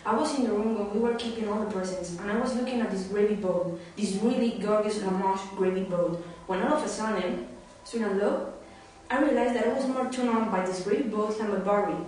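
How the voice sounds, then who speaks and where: A woman's voice: mid-range voice, fairly quick, a little mellow, lightly acted. A woman with a Spanish accent playing a flustered, rambling young runaway bride in an amateur script reading. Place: wooden-floored room indoors. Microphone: far camera. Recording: echoey room, distant mic, faint noise.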